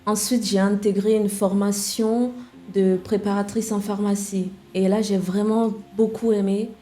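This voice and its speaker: A young woman from Nigeria whose first language is English, speaking French as a guest in an interview, candid and soft-spoken with hesitant fillers. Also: mid-range voice, little pitch movement, unhurried, a little hiss or hum. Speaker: guest, web developer. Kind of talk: interview conversation.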